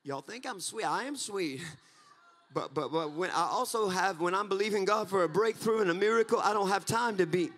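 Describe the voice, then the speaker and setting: A man, preaching a fervent sermon on a church stage into a handheld mic, building from talk to shouts. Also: sits high, fairly quick. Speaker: pastor. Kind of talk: energetic Pentecostal sermon. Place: church stage, mid-sized hall.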